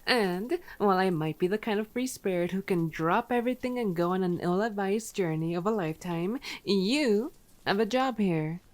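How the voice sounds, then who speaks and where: A woman's voice: medium pitch, moderate pace, lightly acted. A woman playing a sarcastic, teasing female vampire in an ASMR roleplay audio drama, playful and exasperated. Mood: incredulous, teasing.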